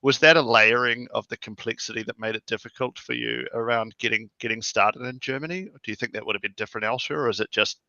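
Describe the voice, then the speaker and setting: A man with a New Zealand accent hosting a casual interview chat, animated and often repeating words as he starts a thought. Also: medium pitch, fast talker, vocal fry, few pauses, no echo, dull audio. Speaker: podcast host.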